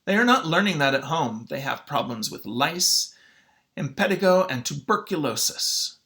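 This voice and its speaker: A man reads the part of a prejudiced male superintendent from a storybook set in the 1940s. He testifies smugly and assertively, in a bright, slightly gravelly voice. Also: on the high side, sing-song, moderate pace, heavily acted. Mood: smug, disdainful. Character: smug, prejudiced male school superintendent in 1945.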